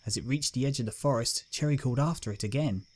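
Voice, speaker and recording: A man's voice: mid-range voice, fast. A man narrating a dramatic scripted story as its reader.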